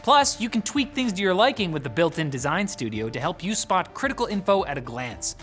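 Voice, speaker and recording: A man's voice: high-pitched, very animated, brisk, a bit bright, soft background music. A man reading a scripted sponsor message as co-host, upbeat and jokey.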